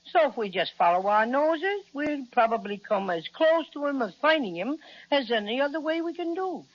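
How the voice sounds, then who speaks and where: A woman's voice: high voice, lively intonation, moderate pace. A woman playing a small, proud cinnamon bear, earnest and quaint, in an old-time children's radio serial. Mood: proud, insistent. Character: small proud cinnamon bear, boastful yet helpful guide. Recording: muffled, lo-fi old radio recording.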